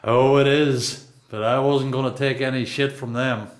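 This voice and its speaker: An actor with a Northern Irish accent playing a seasoned, quietly defiant long-term prisoner in a radio play. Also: male voice, medium pitch, fairly even intonation, moderate pace, natural voice. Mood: world-weary. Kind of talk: radio play dialogue and narration. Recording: echoey room.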